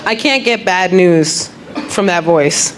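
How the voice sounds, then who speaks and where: Deep-voiced New woman doing stand-up. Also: takes it slowly. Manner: animated, mimics voices, laughs often. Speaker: stand-up comedian. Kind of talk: stand-up crowdwork set. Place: comedy club stage with live audience. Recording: loud crowd laughter, club noise.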